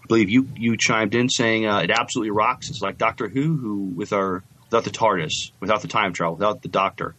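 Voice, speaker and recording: A man with a moderately low voice co-hosts a casual podcast. His delivery is halting and conversational, with hesitant, repeated words as he chats and reads out comments. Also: bright, forceful tone, slight background noise. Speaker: podcast co-host. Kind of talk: casual chat, reading out listener comments.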